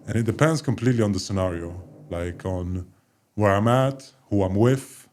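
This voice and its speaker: A man in his forties with a very low voice co-hosts a podcast. He talks about himself in a loose, unhurried way, drifting between thoughts and leaning on plenty of fillers. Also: slight background noise. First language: Polish. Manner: relaxed, loose, rambling with many fillers. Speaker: podcast co-host, former basketball player. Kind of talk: casual conversation.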